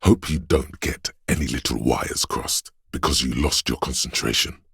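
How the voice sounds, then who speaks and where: A man playing a seductive inner voice of addiction in a video game, narrating dramatically in a gravelly, savoring, coaxing way. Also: normal speed, fairly bright tone, dry recording, clean studio sound, exaggerated voice. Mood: urging, sly. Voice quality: gravelly, husky bass.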